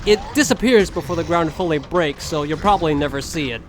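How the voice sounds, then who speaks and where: A man's voice: on the high side, brisk. A young man giving casual commentary as a gaming video creator.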